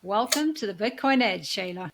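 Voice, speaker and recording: A woman hosts an interview podcast, introducing her guest and asking short, encouraging questions. She speaks at a mid pitch. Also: expressive, moderate pace. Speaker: podcast host. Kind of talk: interview hosting.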